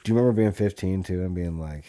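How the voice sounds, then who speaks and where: Podcast host: American male, thirty, deep relaxed voice, loose and foul-mouthed conversational delivery. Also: quick pace. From Montana, USA. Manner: crude, profanity-heavy slang. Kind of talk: casual chat.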